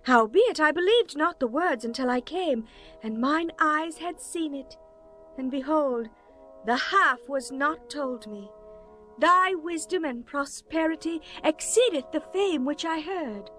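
An awed queen in an audio scripture drama, her voice high and formal. She speaks in reverent, declamatory archaic phrases over a music bed. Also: animated, measured pace, a bit bright. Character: visiting queen, awed and reverent toward a king.

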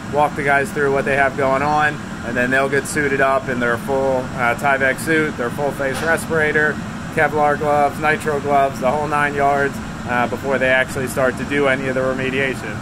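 A man's voice: mid-range voice, little pitch movement, moderate pace. A young man in his twenties, an operations manager, walking through a basement job on a handheld phone, explaining with many fillers. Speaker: operations manager of a remediation company. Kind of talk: casual work walkthrough vlog. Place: basement under renovation, indoors. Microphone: phone held at arm's length. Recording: loud machine noise in basement. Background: loud air scrubber machine hum.

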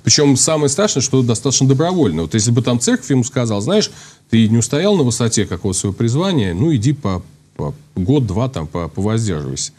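Fast-talking priest on a studio talk show. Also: male voice, mid-range voice, sing-song, a little mellow. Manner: fast, thinking aloud with many restarts and fillers. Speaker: priest guest. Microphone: lapel.

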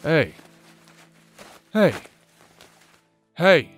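A man's voice: a little high, sing-song, very slow, fairly bright tone, soft background music, full character voice. A man playing an affectionate, playfully wicked necromancer husband in a theatrical, tender ASMR roleplay. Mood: gentle, domestic. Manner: tender, teasing, theatrical, often chuckling. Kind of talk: ASMR romantic roleplay audio drama.

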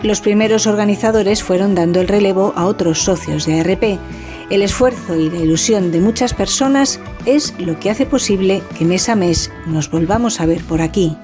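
Female voice-over, measured documentary read over music. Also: medium pitch. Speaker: narrator. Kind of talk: scripted documentary narration.

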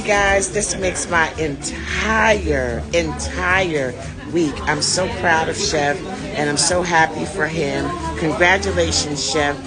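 A middle-aged woman hosting a casual live selfie video outdoors on a city street, speaking enthusiastically and calling her viewers y'all, recorded on a phone held at arm's length. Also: sits low. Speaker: vlog host and tour organizer. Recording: music playing clearly behind voice. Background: music.

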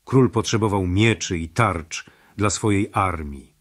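Guy playing a mage narrator for a fantasy audio play, lowish male voice, dry sound. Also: unhurried, no echo. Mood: solemn. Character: powerful mage narrating a kingdom's history. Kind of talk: scripted fantasy prologue narration.

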